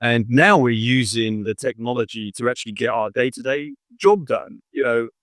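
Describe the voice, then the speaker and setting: A man talks through his points at an easy, conversational clip, leaning on "you know" as he explains. His voice sits at an ordinary middle pitch. The sound is dry and close. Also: moderate pace, a bit bright, no echo. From England. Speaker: corporate marketing director. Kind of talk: conversational interview at a trade show.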